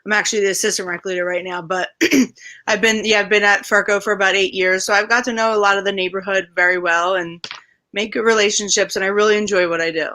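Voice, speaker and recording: A woman's voice: mid-range voice, steady tone, quick pace, bright, forceful tone. A woman who works as a recreation leader, giving casual answers as an interview guest.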